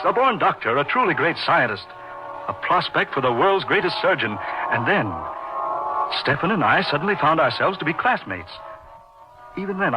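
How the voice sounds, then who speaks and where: An actor playing an earnest, awestruck young doctor telling a dark tale in a theatrical, emphatic old-time radio style. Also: male voice, medium pitch, close to an everyday voice. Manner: theatrical, florid, emphatic delivery. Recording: muffled radio drama recording.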